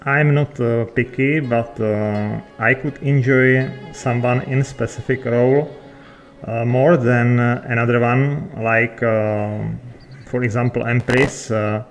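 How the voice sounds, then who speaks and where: Halting, slow-spoken man with non-native English. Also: medium pitch, steady tone. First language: not English. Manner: halting, hesitant, searching for words. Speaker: game player. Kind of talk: unscripted in-character chat in a video game.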